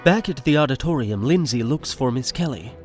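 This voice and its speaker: A man narrating a scripted audio drama in short, measured sentences. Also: mid-range voice, lively intonation. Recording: music behind narration.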